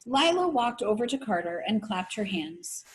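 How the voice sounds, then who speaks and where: A woman reading a children's novel aloud like a teacher, in an expressive, animated storytelling manner. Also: medium pitch, normal speed, a little hiss or hum, low-quality recording. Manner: animated storytelling with voices for characters.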